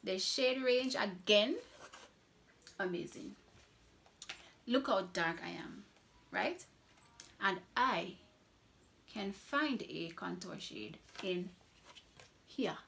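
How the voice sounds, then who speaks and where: Slow, gravelly-voiced woman gushing over makeup. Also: mid-range voice, lively intonation, a bit bright, a little hiss or hum. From Trinidad. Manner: enthusiastic, repeats words for emphasis. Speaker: makeup artist. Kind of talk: casual beauty vlog review.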